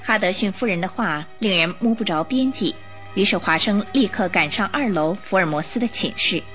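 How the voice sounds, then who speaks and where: A woman narrator telling a scripted story for a radio drama in a dramatic storytelling style. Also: mid-range voice.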